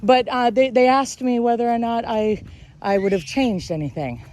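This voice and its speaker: A woman chatting casually and reflectively, thoughtful and a little halting as she goes. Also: a little high, darkish tone. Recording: clear outdoor ambient noise.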